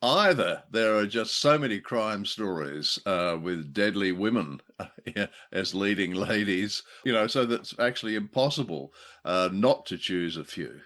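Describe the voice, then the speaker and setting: A man co-hosts a podcast in a casual, chatty way. His voice is fairly low but lively, rising and falling freely as he talks. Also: measured pace. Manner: chuckly, chatty. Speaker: podcast co-host. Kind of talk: casual discussion.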